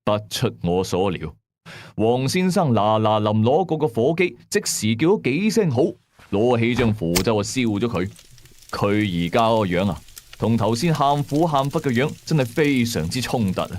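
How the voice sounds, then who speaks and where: A man's voice: medium pitch, big pitch swings, moderate pace, darkish tone, a little hiss or hum. A man with a Hong Kong Cantonese accent narrating a first-person horror story as a storyteller.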